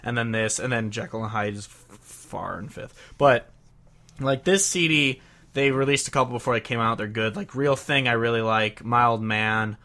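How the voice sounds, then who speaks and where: A young man co-hosting a casual podcast, agreeable and quick to say yeah while giving his own opinions. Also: medium pitch, pushed and bright. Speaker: podcast co-host. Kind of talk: casual chat.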